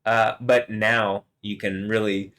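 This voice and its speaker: A man's voice: flat delivery, takes it slowly, a bit bright. A man vlogging alone, rambling and swearing, often chuckling and trailing off mid-sentence. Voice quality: deep voice. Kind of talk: rambling solo monologue to camera.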